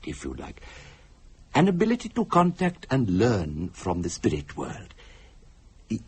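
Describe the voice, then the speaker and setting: Solemn, expressive Indian psychic. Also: male voice, lowish voice, husky, full character voice. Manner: formal, deliberate, theatrical. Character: courteous, solemn Indian male psychic, grave storyteller. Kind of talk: radio drama acting.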